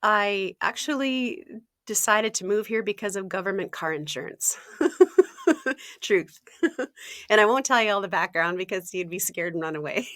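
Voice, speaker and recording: Woman, 60s, health coach, chatty, laughs a lot, throat-clearing, mid pitch, dry sound. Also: moderate pace, long pauses, a bit bright, no room sound. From Ontario, Canada. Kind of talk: casual interview talk.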